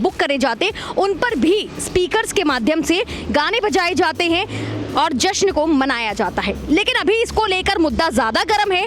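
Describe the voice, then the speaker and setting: A woman's voice: very high-pitched, fairly bright tone. A woman working as a street reporter, presenting and putting questions to passers-by. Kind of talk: vox-pop interviewing and presenting to camera. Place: outdoors on a city street. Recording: noisy outdoor street with traffic.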